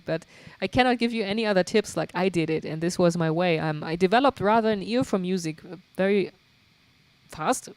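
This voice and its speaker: A woman's voice: fairly low pitch, no echo. German-accented woman chatting on a stream. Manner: chatty, laughs often, many fillers. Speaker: livestream host and guitarist. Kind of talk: casual chat answering viewer questions.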